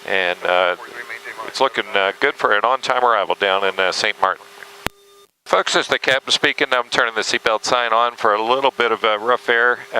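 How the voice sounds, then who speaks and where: A male airline captain makes cabin announcements in a lowish, forceful voice with frequent hesitations. He speaks quickly over the PA, with faint aircraft noise behind him. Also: rough recording.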